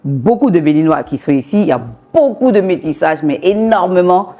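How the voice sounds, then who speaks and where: A young woman from Côte d'Ivoire chats as an interview guest, lively and laughing often. Her voice sits a little low and sounds mellow and warm. She talks in an easygoing, animated way. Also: fairly quick, faint music behind. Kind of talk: casual interview chat.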